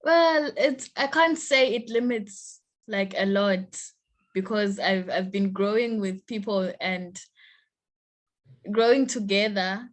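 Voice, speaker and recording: Young woman, Zimbabwean accent, slow, hesitant, halting interview guest. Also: medium pitch. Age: young adult. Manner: hesitant, halting, repeats words. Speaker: guest, university student.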